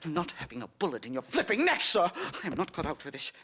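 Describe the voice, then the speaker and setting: A man's voice: on the high side, wildly swooping pitch, fast, sharp tone, breathy edge, heavily acted. An actor playing a nervous, fussy male college professor who stammers and speaks primly. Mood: fretful. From Connecticut. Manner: flustered, stammering, prim and polite. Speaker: anthropology professor.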